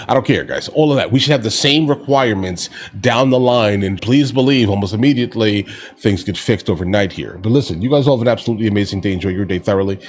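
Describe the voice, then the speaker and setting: An American man hosting a reaction video, giving casual political commentary and often addressing viewers directly in a conversational way. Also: on the low side.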